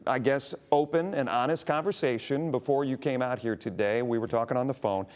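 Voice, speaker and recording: A man hosting a TV interview, asking questions in a conversational way with halting restarts. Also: mid-range voice, darkish tone. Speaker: TV interview host.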